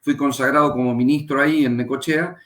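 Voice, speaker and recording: Unhurried Argentine man in an echoey room. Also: medium pitch, fairly even intonation, a bit bright. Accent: Argentine Spanish. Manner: unhurried, reflective, pauses with fillers. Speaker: pastor and Bible teacher, guest. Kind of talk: interview, telling his life story.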